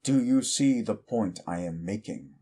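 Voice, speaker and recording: A man voicing an ancient, petty male demon who mocks a mortal in a slow, deep drawl, in audio roleplay. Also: lively intonation, warm tone, husky. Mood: taunting.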